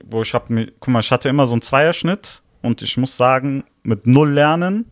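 A man's voice: medium pitch, moderate pace, dry recording. A young man with a mid-range voice argues his side in a loose, slangy way, often stopping to ask if he is understood. The sound is dry and close, with no room around it. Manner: slangy, argumentative, frequent "weißt du, was ich meine". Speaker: podcast co-host. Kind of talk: casual podcast debate.

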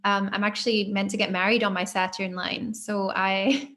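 A woman's voice: medium pitch, level tone, normal speed, fairly bright tone. A woman hosting a casual podcast interview, talking in a friendly way with fillers like "kind of" and polite thanks to her guest. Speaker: podcast host.

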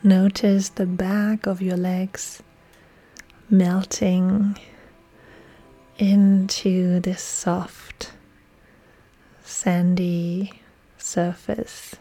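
A woman yoga instructor leading a guided relaxation meditation in a soft, breathy, soothing delivery with long pauses. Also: lowish voice, little pitch movement. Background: soft music.

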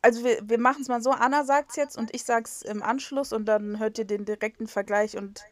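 A woman's voice: a little high, fairly even intonation, a little fast. Chatty young woman on a video call. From North Rhine-Westphalia, Germany. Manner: rambling, self-mocking, frequent fillers and restarts. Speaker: podcast co-host. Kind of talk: casual chat. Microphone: video call recording.

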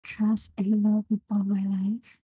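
A young woman with a Singaporean English accent, casually discussing a book with friends and often pausing to find her words. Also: medium pitch, monotone, mellow, husky, dry recording. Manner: halting, searching for words. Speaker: book club member.

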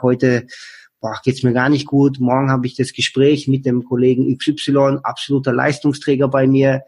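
A man's voice: mid-range voice, slightly rough. A man in a casual conversation, giving earnest advice and acting out what others have said to him.

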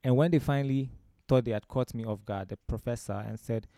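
A man's voice: mid-range voice, fairly quick, mellow, no room sound, clean studio sound. A man with a Ghanaian accent, a musician telling anecdotes in a discursive way as an interview guest. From Ghana, born in Romania. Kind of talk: conversational interview storytelling.

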